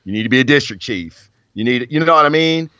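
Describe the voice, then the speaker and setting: A man's voice: medium pitch, expressive, fast, pushed and bright, clean tone, no room sound. Man in his forties telling firehouse stories. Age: 40s. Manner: rambling storytelling with many fillers. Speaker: firefighter guest. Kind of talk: casual podcast chat. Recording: dull dry lo-fi audio.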